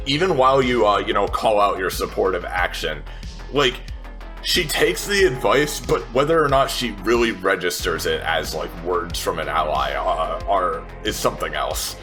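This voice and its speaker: Guy playing an rpg, lowish punchy voice, describes stuff emphatically, soft music behind. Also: expressive, normal speed. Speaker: tabletop game player. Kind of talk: improvised character description in casual talk.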